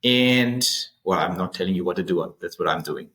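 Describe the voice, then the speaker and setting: A man's voice: sits low, moderate pace, a bit bright. A man hosting a finance video, explaining things step by step in an informal, emphatic way to viewers. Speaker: host of a stock investing channel.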